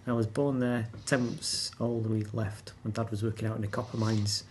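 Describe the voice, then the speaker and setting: A man's voice: on the low side, little pitch movement, normal speed, darkish tone, slight background noise. A man chatting casually as a guest, laughing often while telling stories. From England. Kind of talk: casual chat.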